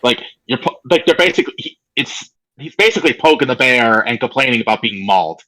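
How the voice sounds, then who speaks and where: A man with a bright, forceful voice at a middle pitch. He chats casually as a podcast co-host, slangy and emphatic, often repeating phrases to press a point. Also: expressive, quick pace, poor audio. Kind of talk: casual chat about video games.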